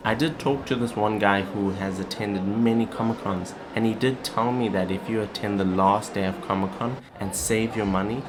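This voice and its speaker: A man's voice: lowish voice, normal speed, few pauses. A man talking casually as a vlogger, in a chatty, plain-spoken way. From South Africa. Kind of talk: casual vlog talk and voice-over narration. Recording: clearly noisy, ambient background sound.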